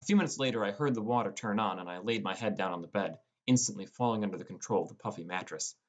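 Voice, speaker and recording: A man's voice: on the low side, fast talker. A man reading scripted first-person fantasy fiction as an audiobook narrator.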